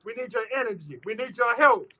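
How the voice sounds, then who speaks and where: A man from Oakland giving an impassioned rally speech, hoarse and losing his voice, leading call-and-response chants. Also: extremely high pitch, expressive, fairly quick, pushed and bright. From Oakland, California. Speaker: rally speaker for families of police violence victims.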